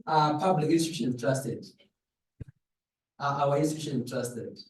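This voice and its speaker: A Zimbabwean man delivers a public address in a slightly high voice. He builds his case through rhetorical questions to the listeners. The sound is echoey, with the microphone some way off. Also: a little slow. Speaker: pastor giving a presentation. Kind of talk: public address in a dialogue forum. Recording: echoey room, distant microphone.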